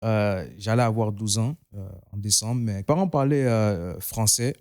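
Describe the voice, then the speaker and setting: A young man in his twenties from Togo, raised in the United States, giving a soft-spoken personal testimony in a studio, often trailing off and repeating words. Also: on the low side, normal speed, clean tone, no room sound. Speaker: guest.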